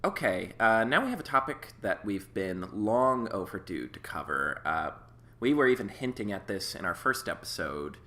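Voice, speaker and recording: A young man co-hosting a podcast, projecting loudly in a playful casual chat, his voice a little worn. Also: fairly low pitch, very animated, moderate pace. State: slightly worn voice. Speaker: podcast co-host. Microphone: desktop USB microphone.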